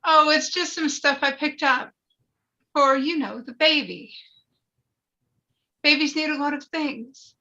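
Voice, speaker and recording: A woman's voice: high voice, takes it slowly, pauses often, bright, forceful tone, muffled recording, full character voice. A woman playing an anxious, earnest wife who longs to be a mother, in a script table read. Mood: uneasy, hesitant.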